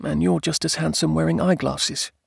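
Casting: male narrator as young noblewoman, raised pitch, sweet but stubborn, earnest audiobook dialogue, close dry sound. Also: a little fast, creaky, breathy edge, no echo. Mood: gently insistent. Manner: earnest, gently insistent. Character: young countess Jane, sweet, spirited, stubborn wife.

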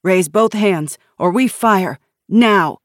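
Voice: mid-range voice, lively intonation, fairly quick, no echo, clean studio sound, natural voice. A narrator voicing tense, armed male guards in an audiobook, barking clipped commands. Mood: harsh, demanding. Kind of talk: audiobook character dialogue.

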